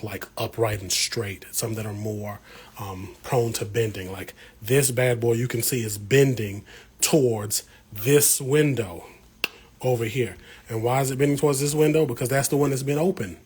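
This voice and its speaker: An American man chatting casually on a livestream as he works, talking to viewers in a folksy, slangy way. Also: medium pitch. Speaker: plant vlogger hosting a livestream. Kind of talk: casual livestream chat while working. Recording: clear background noise with room ambience.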